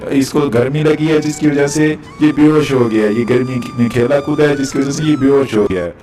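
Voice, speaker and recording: A man's voice: mid-range voice, a little fast, dark tone, reverberant, close to an everyday voice. A man voicing a confident, reassuring doctor who explains things in a cartoon moral story. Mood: assured. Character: confident male doctor reassuring a worried family. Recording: music behind the voice.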